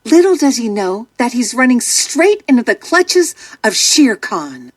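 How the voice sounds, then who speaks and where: A woman narrating a children's storybook from a script in an expressive, dramatic storytelling manner. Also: a little high, measured pace, background noise.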